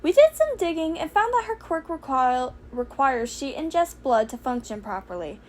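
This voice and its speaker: A woman's voice: high voice, big pitch swings, normal speed. A woman voicing a small, courteous male school principal in a fan fiction audiobook, speaking in polite, formal phrases. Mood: earnest.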